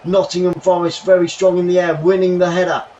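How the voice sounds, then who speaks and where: A man hosting a live football watchalong from his bedroom, commentating the match and thanking viewers, getting excitable and shouting when chances come. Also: high-pitched, fairly quick, lo-fi sound, slight background noise. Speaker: watchalong host. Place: home bedroom.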